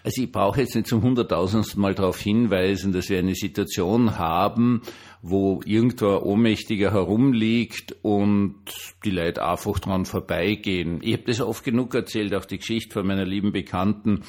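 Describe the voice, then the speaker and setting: A man's voice: lowish voice, normal speed, darkish tone. An older man with a Viennese Austrian accent, speaking as a pastor in an informal, hesitant but emphatic personal monologue. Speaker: pastor and online diary host.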